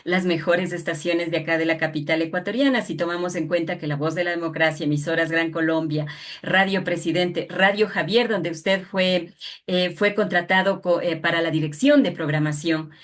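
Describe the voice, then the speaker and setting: Female interviewer, Ecuadorian, slightly low register, courteous and formal radio delivery. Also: steady tone, moderate pace.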